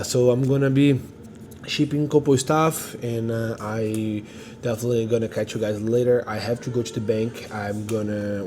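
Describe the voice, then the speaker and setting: A man's voice: on the low side, background noise. A man, chatting casually to a phone camera as an entrepreneur vlogger, rambling and upbeat with lots of fillers and restarts. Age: 30s. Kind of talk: casual vlog talk to camera.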